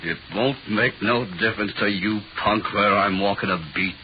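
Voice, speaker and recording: An actor playing a gruff, brutal police interrogator in a 1940s American radio drama, threatening and slangy. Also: male voice, lowish voice, fairly even intonation, normal speed, lightly acted. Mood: menacing. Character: menacing, brutal police interrogator, hard-boiled tough cop. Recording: muffled, hissy 1940s radio recording.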